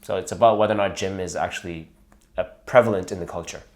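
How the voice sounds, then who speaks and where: A young man with a notably low voice talks through his opinions in an easygoing, explanatory way. He laughs easily and keeps the tone of a relaxed chat between partners. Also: fast. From Canada. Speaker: boyfriend co-host. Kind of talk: casual couple chat.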